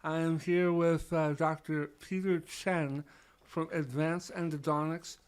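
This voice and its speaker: Higher-pitched man hosting a podcast. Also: steady tone, measured pace, clean tone. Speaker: podcast host. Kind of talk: conversational podcast interview.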